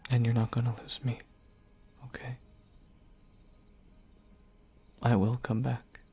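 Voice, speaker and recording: A man voicing a devoted young knight who earnestly confesses his love, speaking softly and haltingly. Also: mid-range voice, husky. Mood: reassuring. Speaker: voice actor. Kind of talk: audio roleplay acting.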